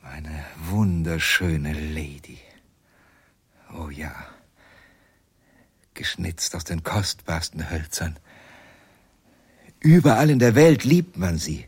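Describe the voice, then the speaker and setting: An actor playing an evasive, doting ventriloquist in a radio play, musing tenderly in a breathy voice. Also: male voice, sits low, expressive, takes it slowly, long pauses, darkish tone, exaggerated voice. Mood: dreamy, adoring. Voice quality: breathy, husky. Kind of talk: German radio drama acting.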